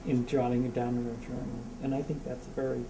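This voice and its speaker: A man in the audience of a book discussion, talking in halting phrases with many restarts. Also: mid-range voice, level tone. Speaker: audience member. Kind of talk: casual discussion. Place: community meeting room. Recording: echoey room from a distance, faint noise.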